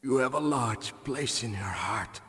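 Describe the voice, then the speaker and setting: An actor playing an eerie, dying psychic villain in a video game, speaking in slow, hushed, whispery tones. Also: male voice, mid-range voice, close to an everyday voice. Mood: menacing. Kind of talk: video game cutscene acting. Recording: reverberant game audio with faint noise.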